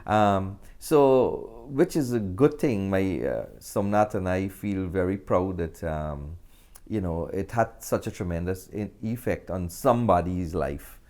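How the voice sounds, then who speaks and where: A man from Guyana with a Guyanese accent talks casually as an interview guest, often pausing mid-sentence. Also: low voice, dark tone. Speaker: interview guest, actor and filmmaker.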